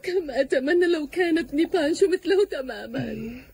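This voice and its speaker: A woman's voice: high-pitched, animated, a little fast, a little mellow, no room sound. A woman voicing a doting, melodramatic cartoon mother who sighs and exclaims theatrically, in a dubbed cartoon. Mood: tearful longing. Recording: music behind the voice.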